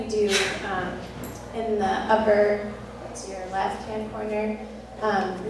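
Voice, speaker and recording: A woman with a quiet, soft voice, giving an explanatory talk as a conservation district director, with many ums. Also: medium pitch. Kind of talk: presentation to a club meeting. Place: meeting room. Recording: echoey room from far off, noisy.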